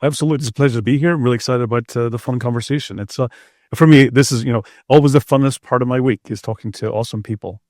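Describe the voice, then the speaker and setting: A man's voice: medium pitch, very fast, darkish tone, dry recording, clean studio sound. A middle-aged man speaking as an expert guest, explaining things in a conversational interview. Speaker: expert guest, security researcher.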